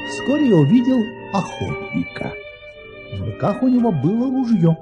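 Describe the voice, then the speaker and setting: Casting: jovial boastful baron, high voice, swooping intonation, grand storybook audio-play delivery over music. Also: male voice, wildly swooping pitch, normal speed, exaggerated voice. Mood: storytelling. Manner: grand, exclamatory, courteous. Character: jovial boastful baron recounting his tall-tale travels.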